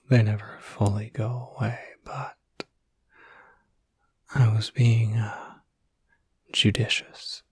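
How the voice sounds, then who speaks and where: Man acting gentle werewolf, slow breathy whisper. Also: lowish voice, no echo, exaggerated voice. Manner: hesitant, reassuring, casual. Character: gentle, caring young male werewolf looking after someone. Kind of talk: whispered ASMR roleplay, intimate and addressed to the listener.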